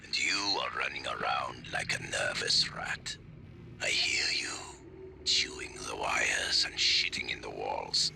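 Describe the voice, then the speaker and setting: An actor playing a ruthless, mocking mercenary commander in a video game, with a gravelly, menacing voice. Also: male voice, sing-song, slow, whispered, heavily acted. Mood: mocking menace. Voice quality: gravelly, very deep. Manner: menacing, sardonic.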